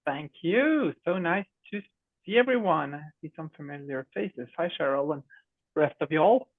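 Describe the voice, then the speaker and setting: Norwegian-accented young man, friendly co-founder pitching a demo, slightly high, tinny dry call audio. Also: lively intonation, normal speed, dry recording. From Norway. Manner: friendly, chatty, many fillers. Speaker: startup co-founder presenting. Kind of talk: online demo presentation. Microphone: video call.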